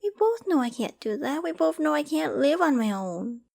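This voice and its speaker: Actress as a clingy, high-voiced apocalypse survivor woman. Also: sing-song, vocal fry, a little mellow, full character voice. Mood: desperate, distraught. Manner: emotional, halting and stammering, often dropping to whispers and sighs. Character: clingy, secretly obsessive young woman surviving a zombie apocalypse. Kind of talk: dramatic ASMR roleplay audio acting.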